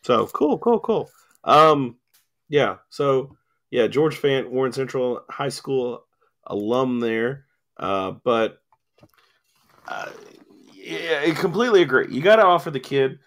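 A man's voice: medium pitch, expressive, slow pace, a bit bright. A man hosting a casual sports radio show in a studio, rambling with many ums and fillers, his voice starting to go. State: losing his voice, coughing. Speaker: sports talk host. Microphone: studio mic with cough button.

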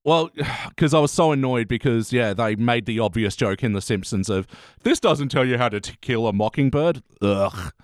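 Podcast host: Australian man, medium pitch, rambling casual delivery, very dry sound. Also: animated, moderate pace, dry recording. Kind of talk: casual TV review chat.

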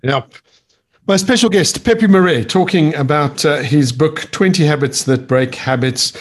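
A man hosting a podcast interview, asking his guest questions in a conversational, relaxed way with fillers. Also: a little high, unhurried, husky. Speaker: podcast host.

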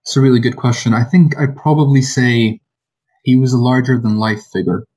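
A man's voice: mid-range voice, fairly even intonation, a little fast, a little mellow, husky. A man speaking as a podcast guest, hesitant and full of ums and restarts. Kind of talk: casual podcast conversation.

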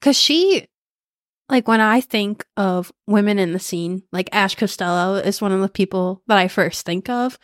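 A young woman co-hosting a podcast, chatting in a relaxed, rambling way with many "like"s. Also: medium pitch, moderate pace, dry recording, clean studio sound. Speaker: podcast co-host. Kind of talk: casual chat.

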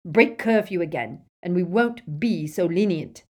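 A woman voicing a stern male dark-wizard henchman in an audiobook, loud, accusing and threatening. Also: on the low side, expressive, a little slow.

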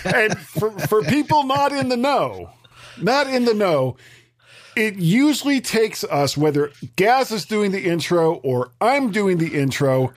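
A man co-hosts a podcast in easy, casual banter. His voice is scratchy and strained, a bit high for a man, with slow pacing and lively rises and falls. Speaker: podcast co-host.

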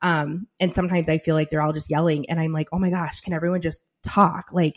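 A woman's voice: low voice, level tone, fast. A middle-aged woman co-hosting a podcast, thinking aloud in a casual, reflective way and readily agreeing. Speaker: podcast co-host. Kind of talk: casual personal conversation.

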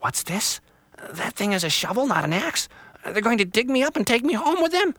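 A man's voice: sits high, animated, brisk, a bit bright, dry recording, voice pushed up, full character voice. A man voicing a timid little girl tree. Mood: braced. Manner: anxious, hopeful, wondering aloud. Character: timid young female sapling tree.

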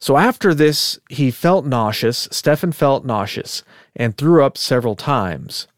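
A man with a voice pitched right in the middle walks his listener through a story in easy podcast chat. He keeps it loose and conversational, with asides and filler words. The sound is dry and close, with no room echo. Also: unhurried. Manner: chatty, relaxed, thinks aloud with fillers and asides. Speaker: podcast co-host. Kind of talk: casual storytelling of a case.

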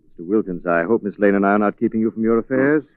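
An actor playing a suave gentleman investigator who questions in a measured, pointed way, in 1940s radio drama style. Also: lowish voice, no room sound, natural voice. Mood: coolly suspicious. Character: suave, probing amateur sleuth, gentleman investigator. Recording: muffled 1940s radio recording, phone-like band.

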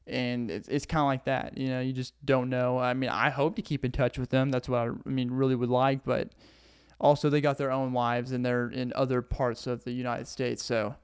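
A man's voice: medium pitch, level tone, moderate pace, smooth, no room sound, studio quality. A young man from Arkansas with a Southern accent, hosting a casual solo podcast in a rambling, earnest way and laughing often, while sitting on the floor indoors. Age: young adult. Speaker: podcast host.

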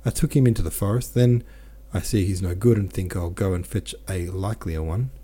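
A man reading the lines of a bold, cheeky male peasant scout, in a hurried, brash way. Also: low voice, mellow, husky. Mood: cocky.

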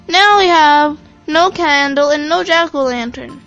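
A man's voice: extremely high pitch, unhurried, sharp tone, highs cut off, soft background music, voice pushed up, exaggerated voice. A man voicing a tearful, babyish little sister in a storybook, wailing melodramatically.

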